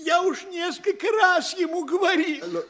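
A man's voice: very high-pitched, measured pace, bright, forceful tone, exaggerated voice. An actor playing a timid, fretful male school superintendent, whining and sighing anxiously in a classic stage radio play.

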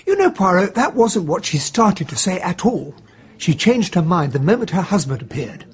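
An earnest English gentleman sidekick in a radio mystery. His voice is fairly high for a man and very husky. He narrates and chats in a plain-spoken, loyal way. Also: very animated, moderate pace, full character voice. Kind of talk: radio drama acting and narration.

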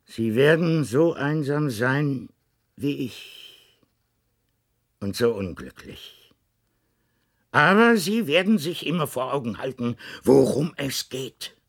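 A man acting in a science fiction radio play as the grave, lonely president of an underground state, speaking in a formal, measured, solemn way with weighted pauses. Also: mid-range voice, lively intonation. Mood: resigned, sorrowful.

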